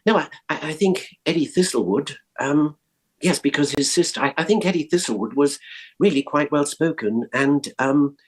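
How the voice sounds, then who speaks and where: A man's voice: on the high side, normal speed, slightly rough. A man, a voice actor, reminiscing casually and hesitantly about the characters he has played.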